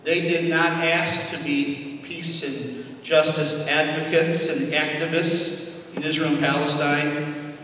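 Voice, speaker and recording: A man hosting an event, giving a formal, earnest introduction of guest speakers with deliberate phrasing. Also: fairly high pitch, flat delivery, fairly bright tone. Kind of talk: formal public introduction speech. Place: large reverberant indoor venue. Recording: echoey hall from far off, faint noise.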